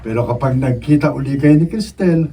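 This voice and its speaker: Man acting a mellow-voiced, teasing young buddy. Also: mid-range voice, a little fast, close to an everyday voice. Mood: needling. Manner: cajoling, playful, needling. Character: teasing, pushy young male friend and hopeful suitor. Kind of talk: Filipino radio drama acting. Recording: mellow, distant room mic.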